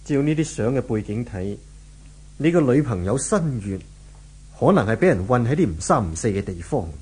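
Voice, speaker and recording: Husky, commanding police inspector. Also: male voice, mid-range voice, very animated, moderate pace, a little mellow. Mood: measured, firm. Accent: Hong Kong Cantonese. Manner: firm, commanding, probing. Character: authoritative, methodical male police inspector leading raids. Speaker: radio drama actor. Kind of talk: 1970s Hong Kong Cantonese radio drama acting.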